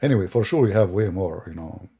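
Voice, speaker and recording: A man's voice: deep voice, lively intonation, fast, dark tone, slightly rough. A man with an Arabic accent, a native Arabic speaker, hosting a live stream in a sarcastic, teasing manner. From Middle East. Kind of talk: mocking commentary on a live stream.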